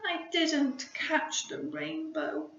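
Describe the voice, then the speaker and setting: A woman's voice: high voice, level tone, very slow, gravelly, fairly bright tone, recorded from a distance, slight background noise, pitched above the performer's own voice, exaggerated voice. A woman voicing a small, shy, childlike storybook creature with an eager, pleading manner. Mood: sad, pleading. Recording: roomy, slightly dull home recording.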